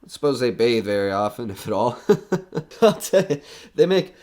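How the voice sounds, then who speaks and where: A man's voice: mid-range voice, very animated, normal speed, darkish tone, a little hiss or hum, natural voice. A man playing a nervous, skeptical broadcaster alone in a haunted house in an audio horror drama, rambling and joking anxiously. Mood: unravelling, giddy.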